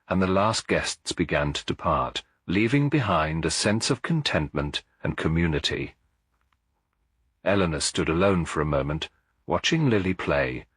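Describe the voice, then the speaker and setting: A man reading a scripted story as an audiobook narrator, in a measured, literary manner. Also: low voice, a bit bright, breathy edge, no room sound, studio quality.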